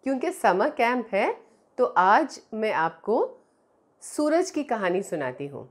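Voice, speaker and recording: Expressive high-voiced Indian woman storyteller. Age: middle-aged. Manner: expressive, deliberate, addresses children directly. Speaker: children's storyteller. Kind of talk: storytelling for young children. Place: small indoor room. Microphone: clip-on lapel mic.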